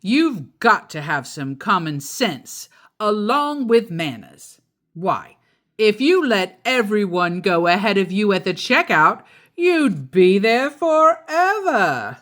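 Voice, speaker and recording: A woman's voice: mid-range voice, big pitch swings, slow pace, fairly bright tone. Woman putting on a grumbly, pompous papa bear. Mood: grumbling. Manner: grumbly, emphatic, self-important. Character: grumbling, indignant father bear, pompous and set in his ways.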